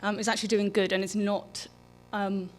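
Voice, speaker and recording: A woman speaks as the presenter of a public lecture. Her delivery is informal and halting, often breaking off to rephrase. She talks into an event microphone in a live setting. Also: medium pitch, little pitch movement, a little hiss or hum. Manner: informal, halting, self-interrupting. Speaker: public programs manager and lecturer. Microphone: microphone for live streaming.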